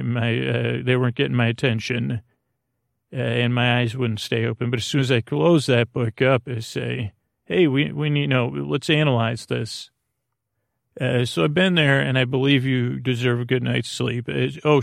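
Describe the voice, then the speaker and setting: Male sleep-podcast host: gravelly, lulling, halting delivery that wanders, recorded close and dry. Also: medium pitch, dry recording. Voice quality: creaky. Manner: meandering, halting, repeats and trails off; soothing, lulling. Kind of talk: rambling bedtime sleep podcast intro.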